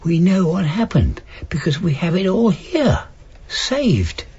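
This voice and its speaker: An actor playing an elderly working-class husband, gentle, hesitant and loyal, in a naturalistic radio drama. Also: male voice, high voice, very animated, slow pace, breathy edge, muffled recording, full character voice. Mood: tender. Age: older.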